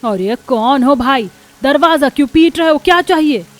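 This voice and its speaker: A woman's voice: sits high, lively intonation, moderate pace, pitched above the performer's own voice. A woman voicing a rude, haughty rich lady of a mansion in a cartoon story, snapping with disgust. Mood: irritated. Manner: scornful, snapping. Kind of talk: Hindi cartoon story acting.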